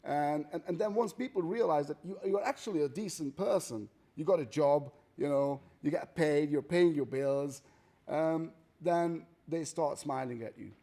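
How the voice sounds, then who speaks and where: A man speaks in a relaxed, conversational way. His voice is dark and mellow. He keeps checking in with his listener as he talks. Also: a little high, moderate pace. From Hungary. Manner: conversational, often adds "right?" and "you know". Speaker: guest artist.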